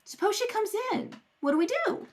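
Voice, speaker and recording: Inquisitive, fretful, loving detective's wife, played by a woman, very high and animated, for a radio mystery. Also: moderate pace. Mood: curious, wary. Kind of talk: amateur radio mystery read-through. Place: at a kitchen table at home.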